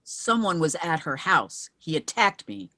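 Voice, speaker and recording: A woman playing a brisk widowed mother working as an investigator in a screenplay table read. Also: low-pitched, sing-song, bright, forceful tone, heavily acted. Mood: defensive.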